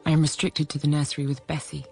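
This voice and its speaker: An actress playing a grave woman narrating her bleak childhood in a literary drama, in measured, sombre short phrases. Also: deep voice, little pitch movement, creaky, a little mellow, soft background music. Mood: sombre, reflective.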